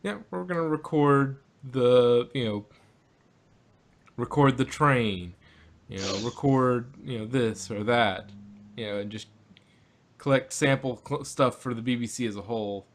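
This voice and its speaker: Man, medium pitch, casual podcast host, rambling, thinking aloud. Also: a little slow. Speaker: podcast host reviewing audio dramas. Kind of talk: casual group discussion.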